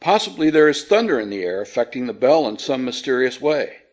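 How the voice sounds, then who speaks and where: Man reading as a stiffly formal gentleman houseguest. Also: mid-range voice, big pitch swings, a little fast. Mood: stiff, insistent. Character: proper, affectedly calm gentleman houseguest. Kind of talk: audiobook character voice.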